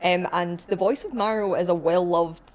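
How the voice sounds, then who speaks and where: A woman's voice: fairly low pitch, normal speed. A woman chatting and bantering casually as a panelist on a podcast.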